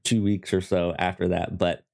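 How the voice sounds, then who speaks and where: A podcast host chatting casually about reviews, with a halting delivery that repeats and stammers on words. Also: low-pitched, level tone, vocal fry, a little mellow.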